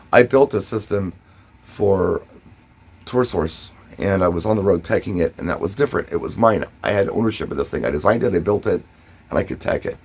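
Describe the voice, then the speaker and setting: A man with a fairly low, noticeably husky voice talks in a casual vlog style. He shares advice and anecdotes conversationally, leaning on key words and pausing briefly for effect. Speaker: DJ and vlogger. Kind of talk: casual first-person advice and storytelling to camera.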